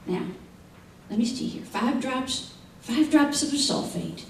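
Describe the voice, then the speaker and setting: A man's voice: extremely high pitch, animated, unhurried, vocal fry, full character voice. A man playing a crusty, impatient American frontier doctor in a radio western, querulous and given to repeating himself. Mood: grumbling, testy. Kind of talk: old-time radio western acting. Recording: echoey, distant old radio recording, faint noise.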